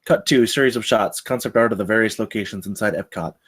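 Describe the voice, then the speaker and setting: Quick-reading male script narrator. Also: on the low side, slightly rough. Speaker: narrator reading stage directions. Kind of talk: scripted narration at a table read.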